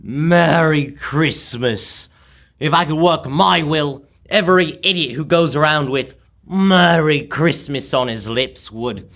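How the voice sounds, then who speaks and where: A bitter old miser in a radio play, acted by a young man, with a bright, forceful voice pitched fairly high. He speaks slowly and curtly, dripping scorn on everyone. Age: teenager or young adult. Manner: curt, scornful, emphatic. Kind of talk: live old-time radio drama reading.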